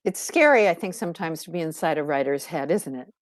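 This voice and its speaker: A woman's voice: deep voice, lively intonation, a little fast, no echo. A woman hosting an interview, asking short encouraging follow-up questions. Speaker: podcast host.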